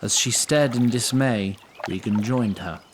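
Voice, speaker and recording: A man's voice: mid-range voice, a little slow, noisy background, lightly acted. A man playing a wry, grandiose storyteller who narrates a fantasy tale in theatrical style and wanders into droll asides. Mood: storytelling.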